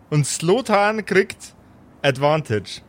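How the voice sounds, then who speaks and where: A man's voice: a little high, expressive, extremely slow. A man acting as game master, narrating an improvised tabletop game and calling for checks.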